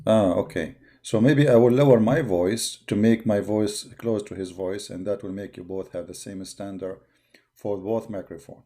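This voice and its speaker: A man's voice: on the low side, normal speed, dark tone. A male host commenting on a played video. He mocks the speaker with sarcasm and talks directly to his viewers. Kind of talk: casual reaction commentary on a livestream.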